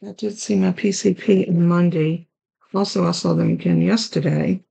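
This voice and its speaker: A woman with a raspy, hoarse voice hosting a card-making tutorial, chatting along as she works through each step. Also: on the low side, steady tone, normal speed, warm tone, low-quality recording. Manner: chatty, talks while working with her hands. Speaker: card-making channel host.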